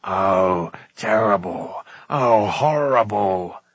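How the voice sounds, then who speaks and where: A timid, servile creature, performed by a male audiobook reader. It moans and wails in overwrought, quaint storybook speech. The voice is husky, in a dry recording. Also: fairly low pitch, expressive, very slow, no room sound, pitched below the performer's own voice, heavily acted. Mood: horrified, moaning. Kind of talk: audiobook character voice.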